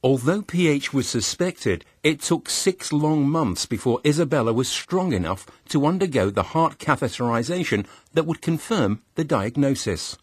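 A man with a husky voice, reading scripted documentary narration. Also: fairly high pitch, lively intonation, moderate pace, few pauses, no room sound, studio quality.

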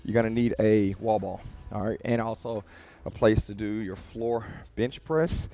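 Low, gravelly male fitness coach. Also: unhurried, dark tone. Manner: motivational, direct, casual. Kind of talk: workout instruction to camera. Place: small home-style gym with rubber floor, indoors.

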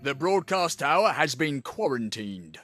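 An actor playing an officious but deferential British gate guard in a video game, politely spoken. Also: male voice, fairly high pitch, very animated, unhurried, fairly bright tone, soft background music. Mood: polite, chirpy. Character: officious yet cheery male gate guard. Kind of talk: video game character acting.

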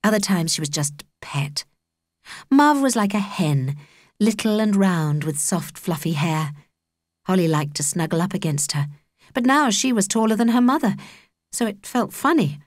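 A woman's voice: medium pitch, animated, normal speed, dry recording, clean studio sound. A woman with a British accent reading a children's story as an audiobook narrator.

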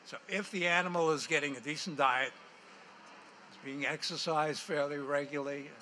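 An older man explains his product to a panel in a steady, technical, matter-of-fact way. His voice sits a little high and sounds bright and clear. Faint room noise hangs in the large hall around him. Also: normal speed. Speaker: entrepreneur pitching to a panel. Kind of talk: answering panel questions, explanatory. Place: large hall with stage, indoors.

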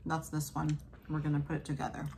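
A woman in her thirties chatting softly and casually while eating on a home food vlog. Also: deep voice, quick pace, gravelly, a little hiss or hum. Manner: soft, relaxed, savoring food between remarks. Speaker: food vlogger. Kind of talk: casual mukbang chat. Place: small indoor room at a table.

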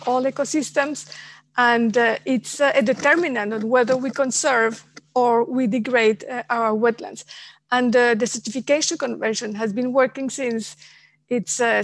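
A woman in her forties moderating an online panel from a home office, speaking in halting phrases with many fillers and repeated words. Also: a little high. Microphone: headset on a video call.

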